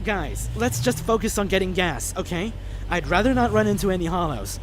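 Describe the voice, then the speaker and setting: A performer playing an earnest, anxious, kindly young man surviving a zombie apocalypse in an audio drama, with a gentle, hesitant manner. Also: medium pitch, animated, normal speed, slight background noise, close to an everyday voice. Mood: calm, earnest.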